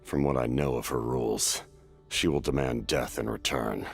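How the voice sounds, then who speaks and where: An actor voicing a grave, honourable ancient warrior captain in a fantasy game, speaking in a deep, solemn, measured way. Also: male voice, fairly even intonation, vocal fry, soft background music. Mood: grave, resolute. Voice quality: deep, weighty. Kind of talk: dramatic fantasy game acting.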